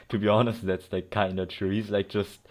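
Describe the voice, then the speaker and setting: A man's voice: lowish voice, flat delivery, normal speed. A young man giving casual, giggly, rambling commentary while playing a game, often sarcastic. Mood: animated. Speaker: gamer playing on stream. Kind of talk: casual let's-play commentary.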